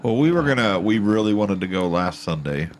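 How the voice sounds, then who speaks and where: A man co-hosting a podcast in casual chat, stuffed up and a bit nasal from a cold, rambling with halting restarts. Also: fairly low pitch, lively intonation, slight background noise. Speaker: podcast co-host.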